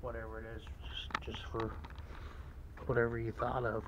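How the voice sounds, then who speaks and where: A man giving casual walk-through commentary as a vlogger, rambling and musing aloud in a low-key way. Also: monotone, moderate pace, muffled recording. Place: large indoor antique mall, walking around. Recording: background noise, store ambience.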